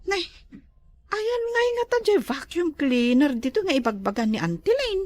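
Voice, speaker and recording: An eager young single mother in an Ilocano radio drama, acted by a woman. She is very high and animated, with a plaintive, questioning way of speaking, recorded dry. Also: normal speed, no echo. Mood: eager, dismayed.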